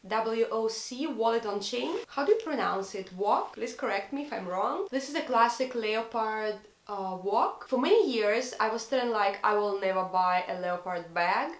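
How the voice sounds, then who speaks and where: A woman's voice: on the high side, expressive, moderate pace, few pauses, echoey room. A young woman from Russia with a Russian accent, chatting earnestly in a fashion haul vlog from her bedroom, sometimes searching for English words. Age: young adult. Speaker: fashion vlogger. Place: bedroom, indoors.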